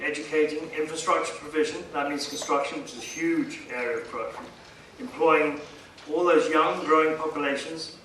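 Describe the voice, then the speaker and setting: A man delivering a formal public speech as a guest speaker, emphatic and rhetorical, pausing for effect. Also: fairly high pitch, bright, forceful tone. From India. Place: conference hall, addressing a seated audience. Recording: echoey hall, distant mic, faint noise.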